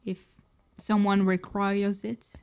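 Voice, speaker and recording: Slow-talking woman with a French accent. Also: mid-range voice, little pitch movement, a little mellow, clean tone. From France. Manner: chatty, storytelling with frequent restarts. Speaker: podcast co-host. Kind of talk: casual chat.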